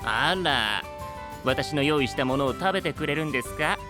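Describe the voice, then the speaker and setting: A man's voice: on the high side, animated, normal speed, music in the background, close to an everyday voice. A male actor playing a sly, pleasure-seeking young trickster in an anime-style audio drama, teasing in polite, theatrical speech. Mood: playful.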